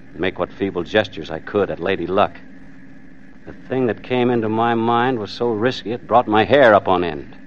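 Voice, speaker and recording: An actor playing an anxious married hostage who narrates an old-time radio thriller in a wry, terse, hard-boiled way, with an American accent. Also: male voice, low voice, natural voice. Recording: muffled vintage radio recording.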